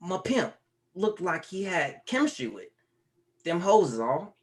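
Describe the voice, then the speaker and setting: Man reading teasing grandson, lively pitch, slangy. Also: measured pace. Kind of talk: table read.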